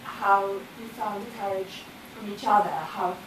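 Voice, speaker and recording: Audience woman, slow and thoughtful; very echoey, distant, noisy. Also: mid-range voice, steady tone. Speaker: audience member. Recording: very echoey room, distant mic, noise.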